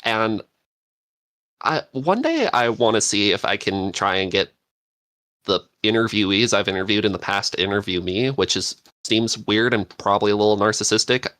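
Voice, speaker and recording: A man's voice: fairly low pitch, lively intonation, normal speed, fairly bright tone, no echo. A man hosting a podcast in casual, unscripted chat, rambling along with enthusiasm. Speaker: podcast host and interviewer.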